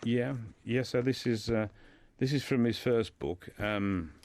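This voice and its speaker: A man speaking as a guest in a radio discussion, hesitant and halting, often repeating his words as he explains. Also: mid-range voice, darkish tone. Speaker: guest discussing a writer's life and books.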